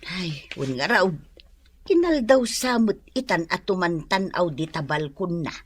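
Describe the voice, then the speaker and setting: A woman's voice: on the low side, lively intonation, measured pace, slightly rough. A woman playing a middle-aged, chatty, exclamatory mother with an Ilocano Filipino accent in a comedy radio drama. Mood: animated. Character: middle-aged gossipy mother, exasperated and animated.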